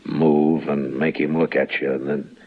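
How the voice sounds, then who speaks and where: A man acting a stern, steady American frontier marshal in a radio western, speaking in terse, deliberate commands. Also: very deep voice. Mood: steady, coaxing. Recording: muffled, noisy old radio recording.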